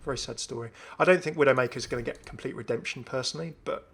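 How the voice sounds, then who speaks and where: A man's voice: medium pitch, fast talker, creaky, fairly bright tone. A man giving casual, off-the-cuff video game commentary as a host, chatty and often musing aloud. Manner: chatty, musing aloud, asks himself questions.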